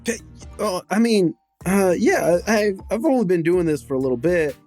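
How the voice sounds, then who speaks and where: A man's voice: high-pitched, animated, a little mellow, faint music behind, voice pushed up. Man playing a stammering, naive young desert outsider. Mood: sheepish, halting. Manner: halting, stammering.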